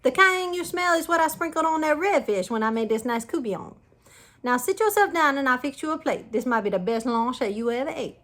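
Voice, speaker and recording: A woman voicing a fussy, excitable lady living in a giant's castle. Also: normal speed, hardly any pauses, full character voice. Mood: excited. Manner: shrill and excitable.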